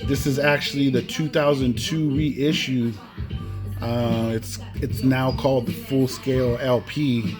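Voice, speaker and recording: A man talks through a collection as a vlog host, taking his time over every phrase. His delivery is casual and laid-back, with a medium pitch, and music plays clearly behind him. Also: fairly even intonation, measured pace. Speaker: host showing off a cassette collection. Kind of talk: casual unscripted vlog commentary.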